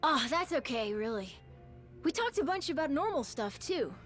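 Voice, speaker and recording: An actress voicing an earnest, sweet youngster in a video game cutscene. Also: female voice, high-pitched, sing-song, normal speed, fairly bright tone, soft background music. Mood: earnest, reassuring.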